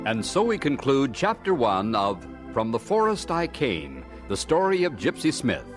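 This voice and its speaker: A male radio announcer reads a scripted sign-off in a mid-pitched voice. His delivery rises and falls freely over clear organ music. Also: wildly swooping pitch, normal speed.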